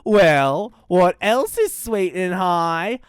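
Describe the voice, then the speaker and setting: A woman voicing a big bad male dingo, loud and theatrical, greedy and scheming. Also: lively intonation, very slow, clear, resonant tone, no room sound, clean studio sound. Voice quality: loud, theatrical, sliding high when faking a sweet voice.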